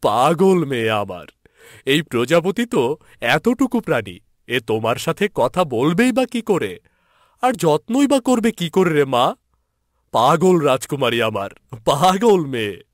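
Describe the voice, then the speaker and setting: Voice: mid-range voice, big pitch swings, a little slow, no room sound, studio quality. A man playing a worried, doting king and father in a fairy-tale cartoon. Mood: fondly amused.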